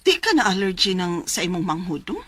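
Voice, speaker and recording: A motherly, fussing neighborhood shopkeeper in a Filipino radio drama. She speaks with a Cebuano accent in a bright, forceful voice, concerned and gently scolding. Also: fairly low pitch, very animated, brisk, husky, no echo, exaggerated voice. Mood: advising.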